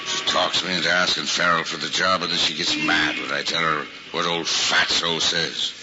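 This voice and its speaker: An actor playing a good-natured, slangy, folksy cab driver in an old-time radio comedy. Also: male voice, extremely low pitch, level tone, normal speed, loud music behind the voice. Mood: grumbling. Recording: muffled, very noisy old radio recording.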